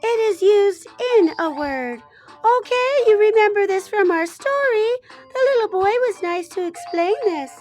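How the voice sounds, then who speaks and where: A woman's voice: extremely high pitch, expressive, unhurried, darkish tone, faint music behind. A woman voicing a cheerful, chatty female teacher character who encourages young children through a phonics lesson. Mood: cheerful, encouraging.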